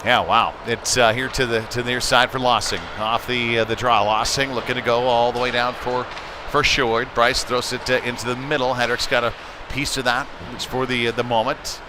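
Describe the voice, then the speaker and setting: Male sports commentator, mid-range voice, conversational live hockey call over clear arena noise. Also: mid-range voice, little pitch movement, moderate pace. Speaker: play-by-play commentator. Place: indoor youth hockey arena. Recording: noisy arena with clattering ambience.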